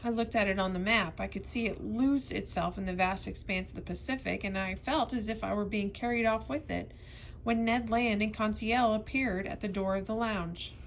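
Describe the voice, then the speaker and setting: A woman's voice: medium pitch, steady tone, normal speed, a little hiss or hum, poor audio. A woman narrating a classic novel aloud expressively, now and then stumbling over a word. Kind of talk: read-aloud storybook narration.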